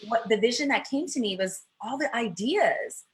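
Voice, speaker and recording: A woman's voice: fairly high pitch, expressive, moderate pace, noisy background. A woman hosting a live online interview over a video call, reflectively summing up her guest's points. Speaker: host.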